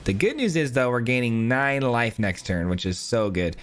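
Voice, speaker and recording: A man giving casual, upbeat commentary while playing a card game, thinking aloud, his voice hoarse as he loses it. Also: medium pitch, lively intonation, normal speed, faint music behind. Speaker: gaming channel host. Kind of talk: casual live gameplay commentary.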